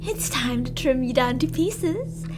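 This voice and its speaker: High-pitched unhinged cannibal woman. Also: lively intonation, over loud music. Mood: menacing, scornful. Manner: theatrical, taunting, drawn-out delivery. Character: unhinged gloating female cannibal killer, mock-sweet and theatrical. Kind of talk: scripted ASMR roleplay monologue.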